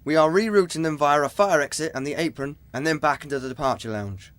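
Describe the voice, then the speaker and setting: A man's voice: on the high side, fast, a bit bright, no room sound, natural voice. A man playing a bossy, exasperated airport maintenance foreman, curt and scolding, in a radio comedy.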